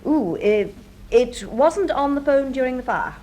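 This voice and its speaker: High-voiced, playful British assistant. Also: female voice, expressive, measured pace, mellow. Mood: playful, puzzled. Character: bright, playful female assistant to an investigator. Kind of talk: British radio drama acting. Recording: noisy classic radio drama recording.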